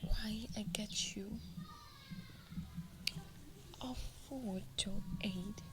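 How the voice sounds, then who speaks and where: A woman's voice: medium pitch, steady tone, extremely slow, background noise. A woman voicing a cold, possessive woman of an evil forest, speaking in a whisper. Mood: menacing.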